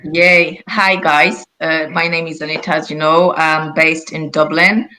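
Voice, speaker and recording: Polish-native woman recruiter, bright and chatty. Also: low voice, level tone, unhurried, a little hiss or hum, low-quality recording. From Poland. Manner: cheerful, chatty.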